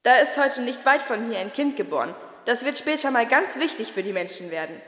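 A woman's voice: on the high side, expressive, fairly quick, fairly bright tone. A woman playing a cheerful, capable archangel who narrates a Nativity audio play in plain, youthful storytelling. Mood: storytelling, assured.

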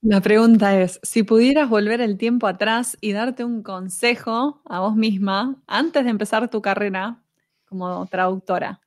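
Casting note: woman co-host, mid pitch, short engaged remarks. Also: unhurried. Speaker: podcast co-host. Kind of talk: casual interview conversation.

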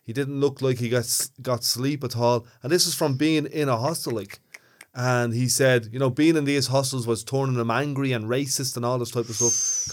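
Blunt Dublin man chatting. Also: medium pitch. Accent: Dublin Irish. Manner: blunt, earthy, repeats words when starting. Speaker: podcast co-host. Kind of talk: casual chat.

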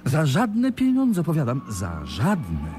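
A man's voice: on the high side, wildly swooping pitch, takes it slowly, darkish tone, slight background noise, exaggerated voice. A man playing a polite, earnest young heir in a radio play. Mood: wry, resigned. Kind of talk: Polish radio play acting.

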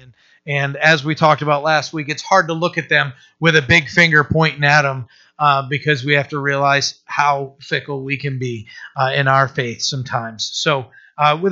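A man with a scratchy, bright, forward voice teaches a Bible chapter as a pastor. He talks in a loose, casual way and stumbles through lots of fillers and restarts, now and then clearing his throat. The recording sounds a bit dull and lo-fi. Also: a little high. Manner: halting, many uh fillers and restarts.

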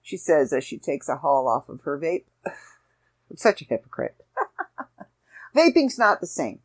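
Chatty woman podcaster with a slightly raspy voice. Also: deep voice, huge pitch swings, slow. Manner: chatty, rambling, self-deprecating asides. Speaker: podcast host. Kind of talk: casual solo podcast chat.

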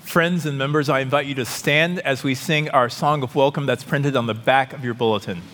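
A man leading a church service, welcoming new members to the congregation in an expressive address. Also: mid-range voice, brisk. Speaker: worship leader. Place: large church sanctuary. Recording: hall reverb with congregation behind.

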